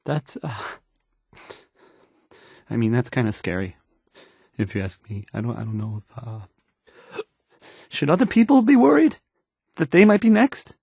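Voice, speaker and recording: A man's voice: mid-range voice, very animated, vocal fry, darkish tone, slightly rough, no room sound, clean studio sound, full character voice. A man playing a timid, awkward young radio DJ in a video game, hesitant and apologetic as he stammers through the news in a shaky voice. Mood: uneasy. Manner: stammering, halting, apologetic, trailing off. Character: timid, awkward young male radio DJ, anxious and unsure of himself.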